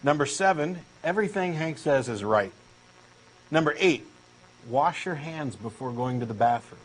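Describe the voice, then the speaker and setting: Brief: Texan man, earnest and pushy, bouncy fairly high delivery, faint hiss behind. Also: expressive, moderate pace, low-quality recording. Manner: eager, insistent true believer, earnest and pushy. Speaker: co-host doing a comic dramatic reading. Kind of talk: comic scripted dialogue reading.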